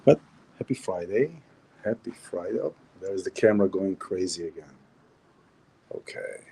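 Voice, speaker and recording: A man's voice: deep voice, animated, moderate pace, gravelly, dark tone, a little hiss or hum, poor audio. A man with an Israeli Hebrew accent hosting a casual weekly Torah talk indoors at a seaside hotel, reflective, repeating phrases and restarting often.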